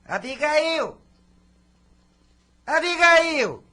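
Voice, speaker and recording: A woman acts out a spiteful, miserly aunt from a radio play. Her voice is bright and cutting, pitched fairly high, and she scolds and demands as if everyone owed her. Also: big pitch swings, extremely slow, a little hiss or hum, exaggerated voice. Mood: impatient, bossy. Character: bossy, spiteful, miserly aunt of an orphan girl. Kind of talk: radio drama acting.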